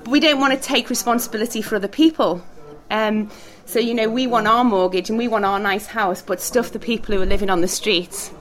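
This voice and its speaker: A woman's voice: on the high side, a little fast, few pauses. A woman teaching a church group in a casual, chatty way, laughing often and putting questions to the room over background noise. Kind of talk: talk with group discussion.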